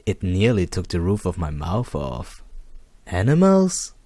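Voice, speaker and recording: A man reading a first-person noir detective story aloud in a measured, deliberate way. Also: low voice, mellow, no room sound. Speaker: amateur story reader.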